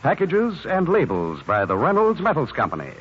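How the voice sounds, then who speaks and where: A man's voice: on the high side, huge pitch swings, normal speed, husky. A man working as an announcer, reading a scripted 1950s radio commercial. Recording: muffled, noisy 1950s radio.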